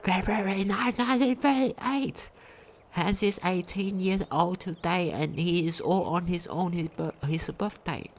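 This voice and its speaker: A woman's voice: lowish voice, measured pace, slight background noise. A woman reading diary entries aloud for a game commentary, stumbling over words and restarting as she goes.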